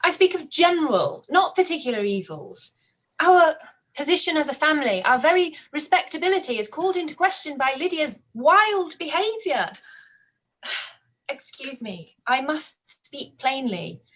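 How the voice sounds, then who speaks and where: A woman playing an earnest, sharp-witted young woman. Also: high-pitched, very animated, normal speed, rough recording. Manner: earnest, articulate, pressing. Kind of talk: live-read radio play acting.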